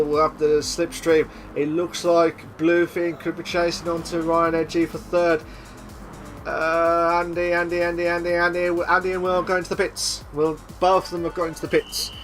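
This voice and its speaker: A young man doing live sim racing commentary, excitable and repetitive, with a voice he says he is losing. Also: on the high side, normal speed, soft background music. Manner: excitable, repeats himself, shouts at big moves. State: losing his voice.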